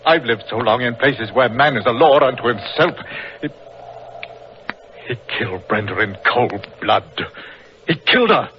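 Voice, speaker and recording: A man's voice: mid-range voice, expressive, measured pace, long pauses, natural voice. A man playing a proud, grief-stricken explorer doctor, grave and impassioned, in 1940s radio drama style. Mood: anguished, bitter. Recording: muffled, noisy old-time radio recording.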